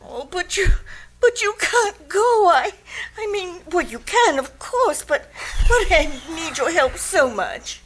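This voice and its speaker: A woman's voice: very high-pitched, big pitch swings, slow pace, fairly bright tone, heavily acted. An actress playing an anxious, flustered wife in a radio detective drama, hesitant and stammering as she pleads for help. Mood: desperate, pleading. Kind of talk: old-time radio detective drama acting.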